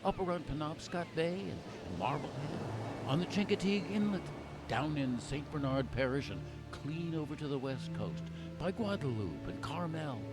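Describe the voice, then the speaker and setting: A man playing a folksy, earnest narrator in an audio play, speaking in an oratorical, theatrical style. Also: fairly high pitch. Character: folksy, earnest male narrator musing on his country.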